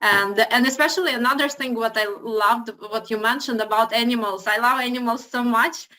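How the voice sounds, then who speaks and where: A native Russian-speaking woman with a fairly high, bright, clear voice talks over a video call. She is enthusiastic and quick to agree warmly, with the ease of a teacher trainer in conversation. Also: fairly even intonation. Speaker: guest English teacher trainer. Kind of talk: casual conversation.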